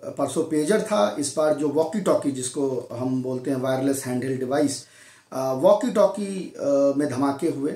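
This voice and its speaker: An Indian man giving unscripted news analysis in a halting, explanatory way, posing questions and answering them himself. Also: mid-range voice, lively intonation, mellow. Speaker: news commentator. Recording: echoey room.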